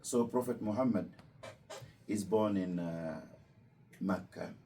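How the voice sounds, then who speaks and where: A low-pitched man with a very dark, mellow voice explains his faith to visiting students. He is patient and repeats key points slowly. He sits some way from the mic, with faint room noise behind him. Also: recorded from a distance. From Kenya. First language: Luo. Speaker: imam.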